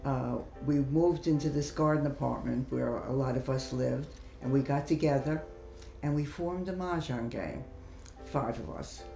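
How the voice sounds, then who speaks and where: A woman's voice: rumbling low, measured pace, gravelly, dark tone. An elderly woman reminisces in an easy, conversational way. The room adds some echo, and faint music plays behind her. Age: older, 80s. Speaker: interviewee, longtime mahjong player. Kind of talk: casual reminiscing.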